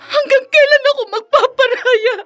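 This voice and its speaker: A woman's voice: very high voice, fairly even intonation, moderate pace, a bit bright, soft background music. An actress playing a hurt, fed-up wife and mother in a radio drama, tearful and impassioned. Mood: tearful, defiant.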